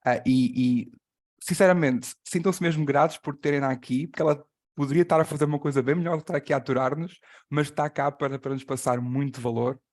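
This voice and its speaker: A man's voice: on the high side, fairly even intonation, very fast, darkish tone. A man with a Portuguese accent, a native Portuguese speaker, hosting an online class and introducing a guest. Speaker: host and translator of an online class. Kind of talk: introducing a guest on a live call.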